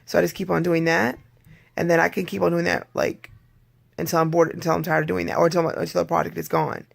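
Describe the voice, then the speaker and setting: A hoarse adult vlogger records a rambling, candid video diary. The voice is strongly gravelly and rough and sits at a middling pitch. The speaker keeps clearing their throat between thoughts. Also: level tone, fast talker.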